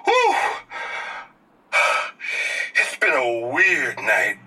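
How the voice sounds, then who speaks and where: Shaken evasive guy, husky, voice swings all over, sounds distant, radio drama style. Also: medium pitch, huge pitch swings, extremely slow, sharp tone, full character voice. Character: rattled, evasive man brushing off danger. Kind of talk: naturalistic audio drama dialogue.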